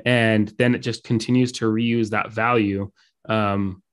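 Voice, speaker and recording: A man's voice: fairly low pitch, flat delivery, a little slow, smooth. A man walks through code on a shared screen, his throat dry from a cold. He talks casually, filling gaps with ums and restarting sentences as he types. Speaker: meetup speaker. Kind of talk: live coding talk.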